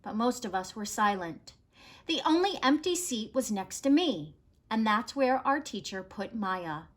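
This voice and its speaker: A woman narrating a children's picture book as a read-aloud, in an expressive, measured storytelling manner. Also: on the high side.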